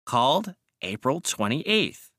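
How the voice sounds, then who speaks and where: A man's voice: sing-song, slow, bright, forceful tone, no room sound, clean studio sound, full character voice. A man voicing a sinister, complacent little man in an audiobook story, precise and coolly commanding. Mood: complacent reciting. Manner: raised pitch, precise, complacent. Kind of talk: dramatic audiobook character voice.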